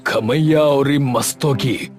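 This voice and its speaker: A man voicing a strange, hulking prehistoric caveman who talks in halting, drawn-out gibberish for an audio story. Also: medium pitch, natural voice. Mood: menacing, strange. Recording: music bed behind the voice.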